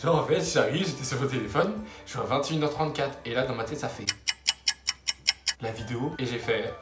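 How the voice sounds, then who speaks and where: A man's voice: mid-range voice, fairly quick, roomy sound, over loud music. A young man from France vlogging at home in a slangy, rambling, upbeat way. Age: young adult. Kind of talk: casual vlog talk. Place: room at home, building works nearby.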